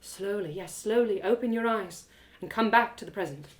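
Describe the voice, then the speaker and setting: A woman voicing a solemn, gentle elf mentor in a one-woman audio drama, speaking in a measured, earnest, instructive way. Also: medium pitch, a little hiss or hum, close to an everyday voice, poor audio. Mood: soothing, guiding.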